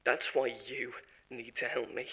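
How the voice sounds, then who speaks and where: A man's voice: medium pitch, level tone, measured pace, bright, forceful tone, slightly rough, heavily acted. A man voicing a panicked, self-serving male corporate marketer who shifts blame in a horror audio drama, in a wheedling, defensive monologue with nervous laughs. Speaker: voice actor.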